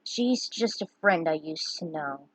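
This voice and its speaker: A woman's voice: deep voice, expressive, unhurried, fairly bright tone, no echo, voice pushed down, full character voice, poor audio. A woman voicing a bashful young male hero who talks in an earnest, hesitant way.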